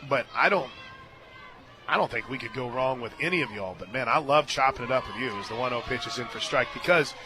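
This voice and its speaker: A man calling a softball game on radio as play-by-play announcer, mixing pitch calls with folksy, conversational asides. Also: expressive, fairly quick. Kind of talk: live softball commentary mixed with casual chat. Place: stadium radio booth.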